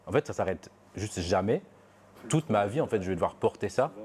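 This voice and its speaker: Hesitant young man, low voice. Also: expressive, a little fast, darkish tone. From Belgium. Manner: hesitant and reflective, frequent fillers and restarts. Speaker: interviewee. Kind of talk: personal testimony.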